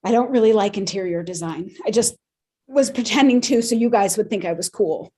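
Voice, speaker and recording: A woman playing an insecure, sardonic pet in an animated comedy table read, with a casual delivery. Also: medium pitch, brisk, natural voice. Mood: sheepish confessing.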